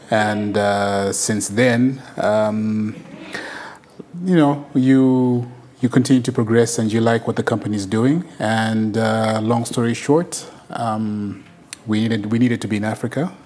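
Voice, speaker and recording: Low-voiced man from Zimbabwe. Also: steady tone, measured pace, darkish tone. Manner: hesitant, many fillers and restarts. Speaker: country manager, guest. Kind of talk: casual interview answers.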